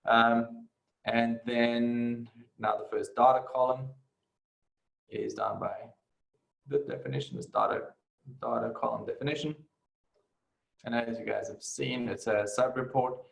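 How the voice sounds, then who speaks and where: A man talking through an informal screen-share software tutorial, halting as he goes and often adding short tag questions. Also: medium pitch, steady tone, gravelly, rough recording. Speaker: software instructor.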